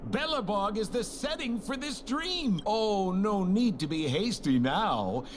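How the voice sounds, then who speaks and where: A man's voice: sits high, lively intonation, measured pace, background noise. A man voicing a sly, teasing guide through a surreal dream in a video game, speaking with theatrical leisure. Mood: teasing, unhurried. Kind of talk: scripted video game dialogue.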